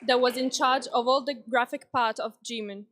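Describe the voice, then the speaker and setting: A woman's voice: fairly high pitch, steady tone, normal speed. A woman as master of ceremony, reading out names and formal announcements at a closing ceremony. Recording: loud crowd and noise in a hall.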